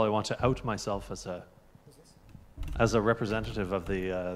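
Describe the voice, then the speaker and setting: A man in his thirties asks a question from the audience of a large lecture hall into a handheld microphone, speaking in a measured way with frequent fillers. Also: mid-range voice. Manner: measured, many fillers and restarts. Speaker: audience member asking a question. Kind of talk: conference Q&A question. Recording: echoey hall.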